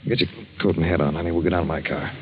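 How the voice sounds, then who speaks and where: An actor playing a reassuring, businesslike young fiancé with a husky voice in 1940s radio drama style. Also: male voice, low-pitched, little pitch movement, rapid-fire, close to an everyday voice. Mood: urgent, practical. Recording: dull vintage 1940s radio recording.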